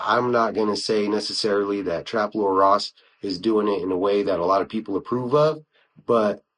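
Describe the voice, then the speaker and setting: A man's voice: on the low side, husky, rough recording. An American man from Wyoming hosting a solo podcast, talking informally with slang and frequent conversational asides. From United States (Wyoming). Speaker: podcast host. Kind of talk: casual solo podcast talk, reviewing YouTube channels.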